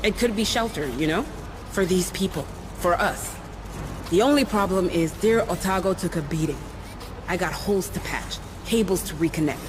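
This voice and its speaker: An actress playing a gruff, practical, overworked chief engineer in video game dialogue, blunt and plain-spoken. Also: female voice, lowish voice, moderate pace, natural voice. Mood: weary, determined. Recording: clear ship ambience noise behind.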